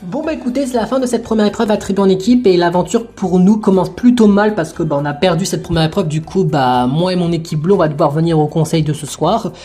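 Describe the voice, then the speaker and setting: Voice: medium pitch, dark tone, close to an everyday voice. Someone voicing a worried new male contestant. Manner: worried, long run-on sentences. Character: anxious young man, new contestant. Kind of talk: homemade toy-figure adventure show.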